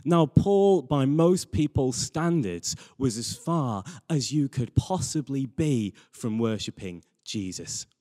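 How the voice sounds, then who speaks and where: A man's voice: mid-range voice, expressive, slow, few pauses, mellow. A man preaching a sermon in an earnest manner, stressing his points with repeated phrases. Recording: reverberant hall.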